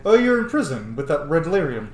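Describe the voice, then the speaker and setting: A man's voice: on the high side, sing-song, a little fast, a little mellow. A man giving casual, sweary gameplay commentary, thinking aloud and roleplaying as he reacts to the game. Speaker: gamer, streamer. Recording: roomy, faintly noisy home recording.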